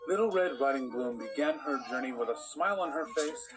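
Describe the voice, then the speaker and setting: A man's voice: mid-range voice, lively intonation, a little fast, natural voice, low-quality recording. A man playing a patient but exasperated cartoon storyteller, reading a bedtime tale as narrator. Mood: storytelling. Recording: music behind the voice. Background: music.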